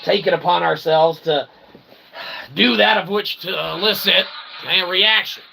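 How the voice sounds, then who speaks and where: A man's voice: sits high, a little slow, creaky, background noise. A middle-aged man hosting an online show in a rambling comedic monologue, wordy and mock-formal, often tagging phrases with okay or right.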